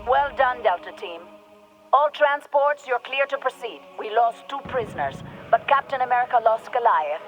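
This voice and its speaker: Woman doing cool commanding lady operator, game cutscene, high voice, bit of music under it. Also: expressive, normal speed, muffled recording, natural voice. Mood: cool, authoritative. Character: commanding female squad operator, cool and authoritative. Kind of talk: video game cutscene acting.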